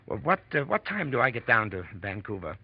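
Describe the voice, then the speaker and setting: A man's voice: mid-range voice, very animated, a little fast, breathy edge. An actor playing a nervous, evasive American fugitive in an old radio drama, stammering and hesitant as he poses as someone else. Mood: tense, impatient. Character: nervous, evasive male fugitive murderer posing as another man. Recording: dull vintage radio recording.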